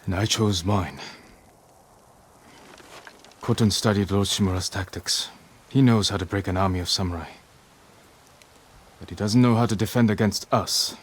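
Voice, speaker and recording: An actor playing a stoic, dutiful samurai outlaw in a dramatic game cutscene, speaking in a solemn, measured, earnest manner. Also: male voice, on the low side, husky. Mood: resolute. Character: stoic, dutiful samurai man turned outlaw, guilt-laden but resolute.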